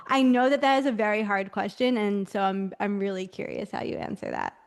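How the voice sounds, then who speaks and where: A woman's voice: mid-range voice, very animated, fairly quick, slight background noise. A young woman hosting a podcast, asking thoughtful questions and affirming her guest. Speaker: podcast host. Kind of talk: interviewing.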